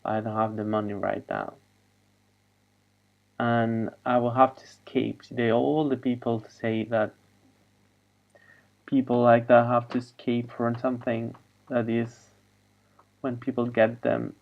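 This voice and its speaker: A man whose first language is Spanish, talking in a halting, wandering monologue over a video call. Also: medium pitch, flat delivery, creaky, narrow, tinny audio. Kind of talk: rambling monologue.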